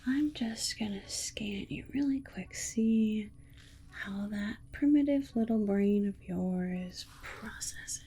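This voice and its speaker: A woman playing a patronizing yet soothing alien scientist in an ASMR roleplay, softly reassuring her human subject. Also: mid-range voice, takes it slowly, slightly rough. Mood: gently instructing. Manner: patronizing, soothing, hesitant murmured repetitions. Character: condescending, sweetly reassuring female alien scientist examining a human. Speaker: ASMR performer.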